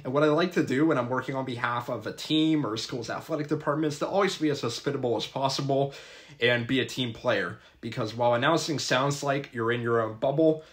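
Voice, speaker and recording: A man's voice: medium pitch, a bit bright. A young man, a college student and sports announcer, giving earnest, methodical advice in a scripted vlog. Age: young adult. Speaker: public address announcer.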